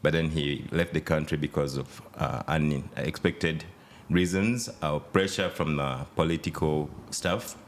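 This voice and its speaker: A man in his thirties from Uganda with a Ugandan accent, a podcast guest talking in a relaxed, hesitant way with many fillers. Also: a bass voice, a little slow, slight background noise. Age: 30s. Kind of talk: casual interview chat.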